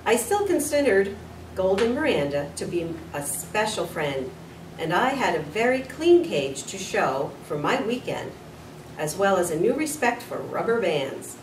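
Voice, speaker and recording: Expressive woman reading aloud, echoey room. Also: mid-range voice, unhurried. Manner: expressive, with small slips and corrections. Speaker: school nurse reading to children. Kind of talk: children's storybook read-aloud. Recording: roomy, distant mic with faint noise.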